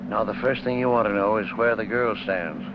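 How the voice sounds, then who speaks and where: An actor playing a calm, shrewd middle-aged lawyer, measured and authoritative, in 1950s film noir style. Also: male voice, fairly low pitch, lightly acted. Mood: calm, persuasive. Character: calm, shrewd male lawyer held at gunpoint. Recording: muffled old film soundtrack, loud engine noise.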